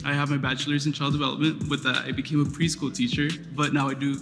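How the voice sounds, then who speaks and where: A man in his twenties giving a measured, soft-spoken self-pitch on a dating show. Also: little pitch movement, music in the background. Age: 20s. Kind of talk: prepared pitch.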